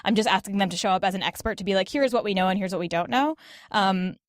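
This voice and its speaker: Fast-talking woman in casual interview. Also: medium pitch, no echo, clean studio sound. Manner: talkative, explanatory, frequent "like" and "sort of". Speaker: podcast guest, reporter and podcaster.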